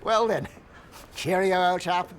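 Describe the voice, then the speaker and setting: An actor with an English accent playing a hearty, smooth middle-aged gentleman in a period audio drama. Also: high-pitched, fairly even intonation, slow pace, slight background noise. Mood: genial, inviting. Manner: hearty, clubby, genteel.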